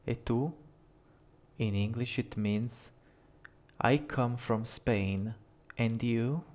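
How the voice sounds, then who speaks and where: A man with an Italian accent, a native Italian speaker, giving a measured, carefully paced language lesson and reading short dialogue lines aloud. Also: medium pitch, darkish tone. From Italy. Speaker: language teacher. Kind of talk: slow scripted language lesson.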